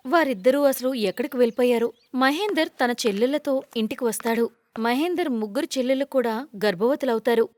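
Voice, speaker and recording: High-pitched woman storyteller. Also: a little mellow. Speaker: narrator. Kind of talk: scripted moral-story narration.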